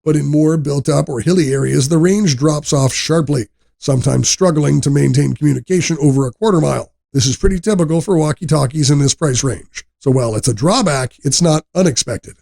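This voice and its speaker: A man reading a scripted product review narration in a measured, explanatory way. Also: on the high side, creaky, warm tone.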